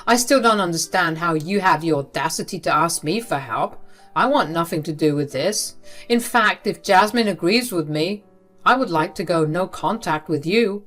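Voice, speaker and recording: A woman's voice: lowish voice, expressive, normal speed, fairly bright tone, soft background music. A woman voicing an indignant adult son who scolds bluntly. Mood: reproachful. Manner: blunt, reproachful.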